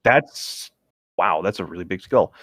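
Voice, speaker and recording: A man co-hosting a story reading, switching between reading aloud and jokey, excitable banter. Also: sits low, measured pace, slightly rough, dry recording, studio quality.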